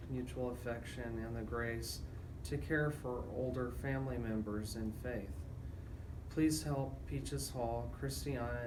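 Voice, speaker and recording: A man hosting a radio talk show, speaking in a measured, deliberate way. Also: medium pitch. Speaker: radio host, attorney. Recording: roomy echo, distant mic, noisy background.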